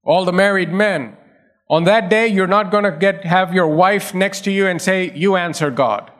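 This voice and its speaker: A man's voice: high-pitched, normal speed, fairly bright tone. A male pastor preaching a sermon, pressing his points through repetition and rising emphasis.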